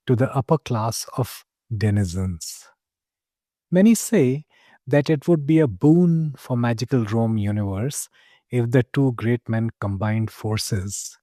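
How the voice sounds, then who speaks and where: A man acting as a mysterious tavern keeper spinning fantasy tales for a story podcast. His voice is warm and relaxed, with a slow, deliberate delivery. He is genial and confiding, dramatic in his phrasing, and the sound is close and dry. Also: mid-range voice, dry recording, natural voice. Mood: hushed, intrigued. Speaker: narrator. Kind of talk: scripted first-person fantasy storytelling.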